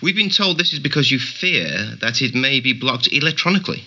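Bright, animated, mocking chat show host. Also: male voice, medium pitch, fairly quick. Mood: smooth, sceptical. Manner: smooth, teasing, sceptical. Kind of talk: radio play acting as a TV chat show host.

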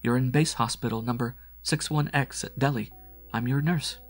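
A man voicing a brisk, kindly female hospital nurse in a science fiction story, calm and formal. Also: mid-range voice, soft background music, voice pushed up, natural voice. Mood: reassuring, matter-of-fact.

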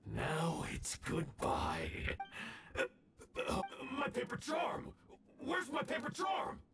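An actor playing a scheming, taunting gang villain in a video game, gravelly and prone to panic. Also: male voice, extremely high pitch, wildly swooping pitch, takes it slowly, fairly bright tone, faint music behind, heavily acted. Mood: panicked. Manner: theatrical, taunting.

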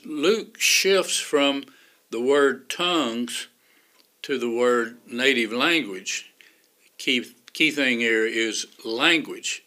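An older man with a Texan accent teaches a Sunday school lesson at an unhurried pace, leaving pauses between his phrases. His voice is bright and carries a forward edge. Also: mid-range voice. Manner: slow, deliberate teaching with pauses. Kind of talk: Bible lesson to camera. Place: room with bookshelves behind him.

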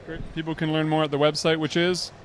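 A quick-talking man in his thirties interviews a vendor at a trade show booth, prompting him with brisk, friendly questions. His voice sits a bit high, and the handheld mic picks up faint murmur from the expo hall around him. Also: flat delivery, a little hiss or hum. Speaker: interviewer, publisher.

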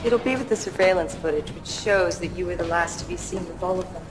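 An actress playing a treacherous, taunting police inspector in a video game, mocking and condescending. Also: female voice, on the low side, a little fast, close to an everyday voice. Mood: smug. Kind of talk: video game cutscene acting. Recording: long echoing reverb under music.

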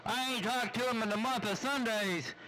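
A man's voice: very high-pitched, normal speed, sharp tone, a little hiss or hum, low-quality recording. A man chatting casually over CB radio in a folksy, teasing, slangy way. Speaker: CB radio operator.

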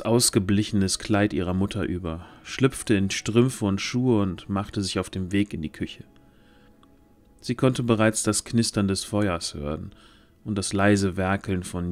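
A man with a low voice reads scripted fantasy narration for an audio drama. Faint music plays behind him as he tells the story.

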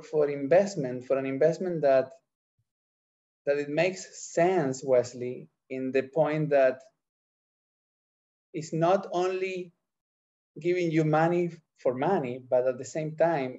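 A middle-aged man with an Argentine accent and Spanish as his first language, answering interview questions haltingly as he searches for words. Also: on the high side, a little mellow. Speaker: entrepreneur, podcast guest. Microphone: video call microphone. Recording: dull, lo-fi video call.

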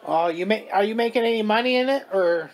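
A man's voice: high voice, fast, crowd noise. A man on a prank phone call with a scammer, playing dumb and chatting off topic. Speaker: prank caller baiting a scammer.

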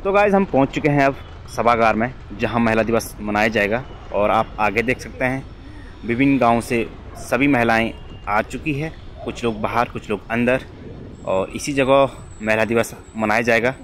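A young man talks to his viewers as he rides a motorbike through mountain roads. His voice sits at an ordinary middle pitch. Engine and traffic noise run clearly under it. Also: normal speed, fairly bright tone. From Uttarakhand, India. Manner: chatty, addresses viewers as guys. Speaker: motovlogger. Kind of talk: casual vlog narration while riding. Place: outdoors on a motorbike on hill roads. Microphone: helmet or body camera mic. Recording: noisy outdoor motorbike recording.

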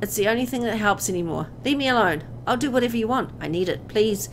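A woman reading a horror visual novel aloud as dramatic, expressive narration, as host of a gameplay video. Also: medium pitch, fast, a little hiss or hum, poor audio.

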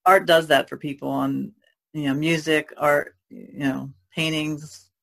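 A woman chatting casually as an artist on a podcast panel, in a tentative, halting way. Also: low-pitched, fairly even intonation, creaky, a bit bright.

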